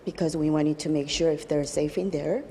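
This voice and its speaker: Low, mellow woman with faint noise. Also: fairly even intonation, normal speed. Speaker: police interpreter. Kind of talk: interview answer.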